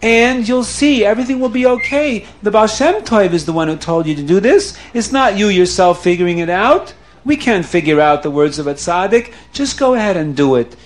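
A man voicing a steady wife who reassures her husband in a firm, encouraging way. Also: high-pitched, moderate pace, few pauses, pitched above the performer's own voice. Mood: reassuring.

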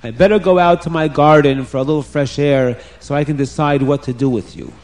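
A blustering storybook king , acted out by a man, quick to take offence. The voice is raised and fairly high, with emphatic, accusing exclamations fit for a children's tale. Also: fairly even intonation, darkish tone, lightly acted. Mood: angry, accusing.

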